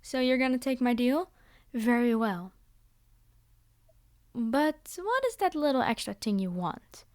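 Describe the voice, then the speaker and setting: A woman's voice: fairly high pitch, animated, a little slow, pauses often, darkish tone. A woman playing a commanding vampire girl in an ASMR roleplay, speaking directly to her human captive with condescending, teasing reassurance. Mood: businesslike. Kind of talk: scripted ASMR roleplay monologue.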